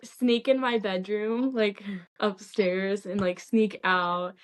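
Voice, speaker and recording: A young woman from California talks as a guest on a casual podcast, her voice sitting at an everyday middle pitch. She tells her story candidly and loosely, with "like" scattered all through her sentences. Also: steady tone, slow, smooth. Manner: candid rambling storytelling, many "like" fillers. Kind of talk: casual chat.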